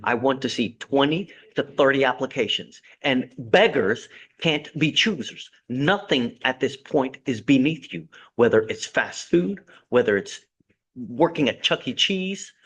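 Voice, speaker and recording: A man's voice: mid-range voice, expressive, unhurried, a bit bright, slightly rough. A man serving as judge in a courtroom, lecturing a defendant from the bench with blunt sarcasm and folksy sayings.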